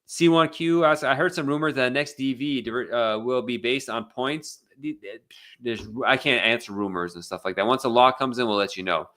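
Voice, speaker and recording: A man's voice: medium pitch, moderate pace, pushed and bright. An American man hosting a casual live Q&A as an immigration attorney, reading out viewer questions and answering them bluntly with many fillers and restarts. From United States.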